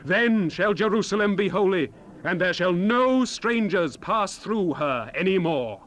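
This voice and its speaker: A man playing a solemn, fervent Bible prophet in a dramatized scripture recital, with grand, measured, declamatory phrasing. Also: sits high, lively intonation, fairly bright tone. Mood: fervent, commanding. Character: male prophet Joel proclaiming God's words, solemn and fervent. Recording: noisy unprocessed 1970s cassette rip.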